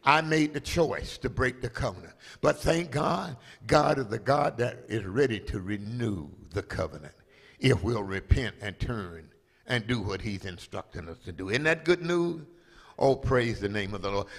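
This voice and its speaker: A man's voice: mid-range voice, creaky, husky. An older man pastor preaching a sermon from a pulpit with a handheld microphone, calling out to the congregation and drawing out key phrases for emphasis. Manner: preaching with call-and-response, rising to loud emphatic proclamation. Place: large church sanctuary, at a pulpit. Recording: long reverb of a large hall.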